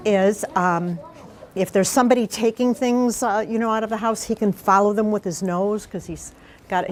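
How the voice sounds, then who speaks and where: A woman's voice: medium pitch, a little hiss or hum. A woman reads a picture book to a group of young children. She speaks informally and explains as she goes, checking in with simple questions. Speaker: mayor reading aloud to schoolchildren.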